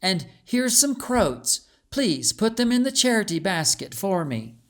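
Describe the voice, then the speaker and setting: A man's voice: sits high, very animated, measured pace, voice pushed up. A man voicing a regal, gracious but commanding lady of the house in an audiobook. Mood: gracious, instructing. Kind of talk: audiobook character voice.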